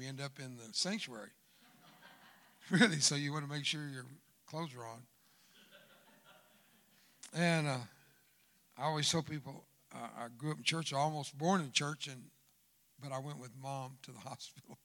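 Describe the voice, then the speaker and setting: A man's voice: mid-range voice, fairly bright tone. A man in his seventies preaching in a church, folksy and joking with the crowd, who grew up speaking Spanish first. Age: 70s. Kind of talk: sermon. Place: church sanctuary.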